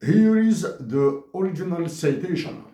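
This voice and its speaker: Slow, emphatic Algerian man reading a letter. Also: fairly high pitch, lively intonation, dark tone, reverberant. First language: Tamazight. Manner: slow, emphatic delivery with word restarts. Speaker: commentator. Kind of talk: read-aloud open letter.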